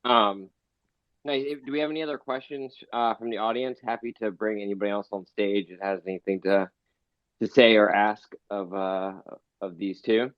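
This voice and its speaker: Guy hosting an audio room, bit low, dry, dull lofi sound. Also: steady tone, normal speed, no room sound. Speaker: host. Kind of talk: moderating a live audio chat.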